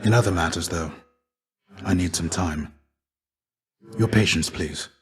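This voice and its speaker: An actor voicing a stoic, weary dead Greek warrior and fatherly mentor in a video game, speaking in a measured, formal, restrained and gently grave way. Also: deep voice. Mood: pensive, contrite. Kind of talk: video game character dialogue.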